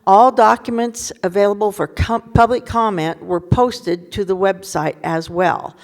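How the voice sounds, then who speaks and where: A woman's voice: medium pitch, steady tone, measured pace. A woman presenting to a community meeting on a handheld microphone, chatty with laughing asides and self-corrections. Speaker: community group panelist. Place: museum meeting room.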